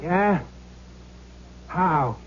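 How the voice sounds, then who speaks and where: An actor playing the wicked, mocking ghost of a dead gambler in a 1970s radio drama, husky and taunting. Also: male voice, sits high, expressive, a little hiss or hum, heavily acted. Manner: taunting, drawn-out delivery. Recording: muffled old radio recording.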